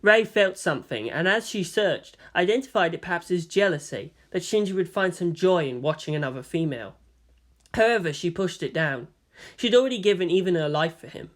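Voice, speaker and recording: A man reading fan fiction aloud as an expressive audiobook narrator. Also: high-pitched, fairly quick.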